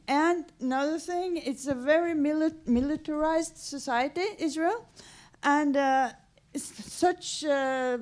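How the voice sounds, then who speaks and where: A woman audience member making a spontaneous comment and question, halting as she searches for words. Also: high voice. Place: audience at a public talk.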